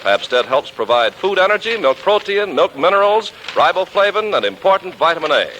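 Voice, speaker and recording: An American man works as a 1940s radio announcer. His bright voice moves in lively, emphatic swings with polished scripted delivery, and there is clear noise on the recording. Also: sits high, normal speed, low-quality recording.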